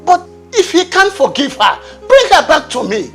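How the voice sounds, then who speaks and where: A woman's voice: mid-range voice, big pitch swings, moderate pace, fairly bright tone, soft background music. An actress playing an angry mother raging at her daughter's abusive husband. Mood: indignant. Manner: angry, indignant. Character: furious mother of the beaten daughter.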